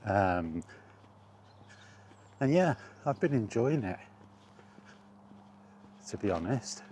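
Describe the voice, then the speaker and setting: A middle-aged man in an unhurried, halting, reflective solo chat as a gaming vlogger. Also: sits low, mellow, a little hiss or hum. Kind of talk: rambling casual chat about video games.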